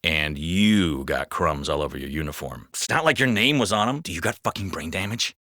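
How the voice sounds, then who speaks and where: An actor playing a jaded, foul-mouthed, sardonic police officer in a video game. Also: male voice, low voice, lively intonation, brisk, bright, forceful tone, no room sound, clean studio sound, full character voice. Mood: wry, gossipy. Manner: gossipy, sardonic, swearing. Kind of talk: scripted video game voice acting.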